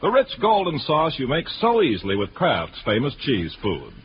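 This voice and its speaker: A man's voice: mid-range voice, wildly swooping pitch, moderate pace. Man, American accent, polished vintage radio salesman, swooping intonation, muffled noisy recording. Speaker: radio announcer. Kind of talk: scripted 1940s radio advertising and announcements. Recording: noisy, band-limited 1940s radio broadcast.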